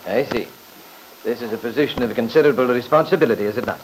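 An actor playing a shrewd, courtly lawyer who questions in a measured, formal, probing way, in old-time radio drama style. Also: male voice, medium pitch, natural voice. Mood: probing, courteous. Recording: noisy vintage radio recording.

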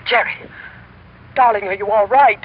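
A woman's voice: mid-range voice, fairly even intonation, moderate pace, lightly acted. An actress playing a busy, emotional middle-aged mother in a vintage radio drama. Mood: anxious, pleading. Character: busy middle-aged theater mother, distracted, then frantic with worry. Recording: muffled voice on old radio phone line.

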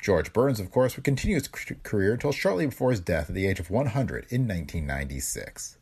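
Low, lively podcast host, a man. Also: fast, gravelly, slightly rough. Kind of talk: scripted podcast introduction.

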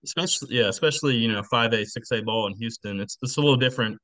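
A man's voice: medium pitch, quick pace, a bit bright, dry recording. A man in his late twenties from Texas, answering interview questions in a casual, plainspoken way. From Texas, United States. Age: late 20s. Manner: plainspoken, informal. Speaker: podcast guest, football coach.

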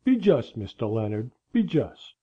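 A woman voicing a stubborn old country man with a husky voice and folksy phrasing, in a storybook reading. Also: very deep voice, big pitch swings, a little slow, dark tone, highs cut off, voice pushed down, exaggerated voice. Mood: angry, pleading.

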